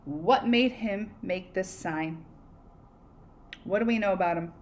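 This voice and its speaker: A woman teacher reading a storybook aloud to children in an expressive, conversational way, pausing to prompt them with questions. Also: medium pitch.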